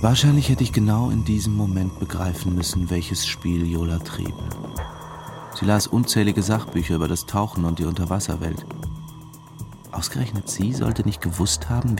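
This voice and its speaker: A man's voice: deep voice, moderate pace, vocal fry. An actor playing a brooding, weary diving instructor in a radio drama, telling his story in the first person. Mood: reflective, brooding. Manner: reflective, literary narrating. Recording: music behind the voice.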